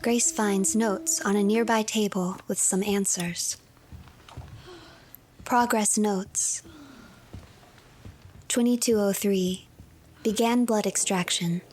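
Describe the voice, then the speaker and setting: A woman narrating a story game in a hushed, breathy storytelling manner, as if reading a tale aloud. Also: medium pitch, steady tone, slow, pauses often, a little hiss or hum.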